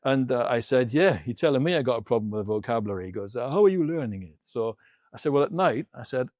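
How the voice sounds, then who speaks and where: A man's voice: medium pitch, animated, fast, darkish tone, dry recording, studio quality. A middle-aged man with a British accent, telling anecdotes conversationally as an interview guest. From United Kingdom. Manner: anecdotal, re-enacts dialogue as he tells it. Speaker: interview guest, former military linguist. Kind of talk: conversational storytelling.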